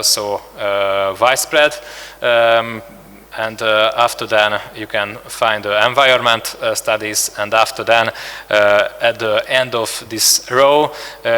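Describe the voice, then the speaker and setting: A man speaks with a Hungarian accent, pausing often and filling gaps with hesitant sounds as he walks through his slides. His voice has a bright edge. It carries a long reverb, as if recorded in a large hall. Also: lowish voice, clean tone. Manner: halting, many filler sounds and restarts. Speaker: conference speaker. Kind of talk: presenting slides at a conference.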